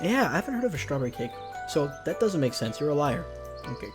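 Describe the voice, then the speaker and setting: A man's voice: a little high, very animated, quick pace. Male let's player, casual jokey storyteller with slangy delivery, faint music underneath. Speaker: let's player reading a visual novel aloud. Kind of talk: casual narration of a game story with joking asides. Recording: music behind the voice.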